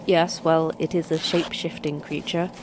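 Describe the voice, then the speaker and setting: A woman's voice: deep voice, little pitch movement, normal speed, noisy background, lightly acted. A woman playing a brusque, sardonic, talkative commander in a sci-fi horror audio drama. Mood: wry, intent. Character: wry, brusque female commander of an Antarctic monster facility.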